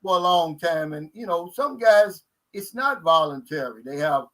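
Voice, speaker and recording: A middle-aged man hosts a live stream, chatting in a relaxed, folksy way. His pitch sits rather high for a man. He reads viewer questions aloud and answers them casually. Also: moderate pace. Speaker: host.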